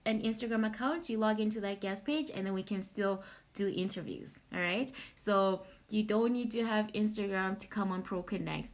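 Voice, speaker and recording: A young adult woman from Zimbabwe hosting a casual live question-and-answer session, informal and halting, repeating words as she thinks. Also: mid-range voice. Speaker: platform host answering audience questions.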